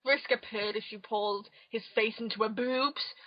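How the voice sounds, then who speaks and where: A woman's voice: fairly high pitch, fairly even intonation, moderate pace, no room sound. A woman narrating an amateur dramatic reading of comic fan fiction, on a muffled home recording.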